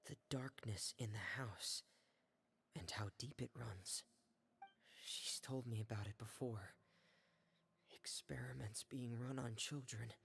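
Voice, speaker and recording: An actor playing a secretive young man who passes on dark rumors in a hushed, measured way, in video game dialogue. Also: mid-range voice, gravelly, husky, exaggerated voice.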